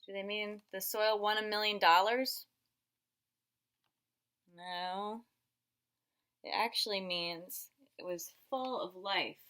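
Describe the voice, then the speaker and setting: A woman teacher reading a picture book aloud to young children, expressive and instructive, stretching words for emphasis and pausing to ask questions. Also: mid-range voice.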